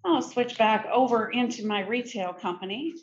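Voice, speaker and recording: A middle-aged woman presenting a software demo in a conversational, informal step-by-step tutorial style. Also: fairly high pitch, expressive, normal speed. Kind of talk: conversational screen-share tutorial. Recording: muffled, noisy screen-recording audio.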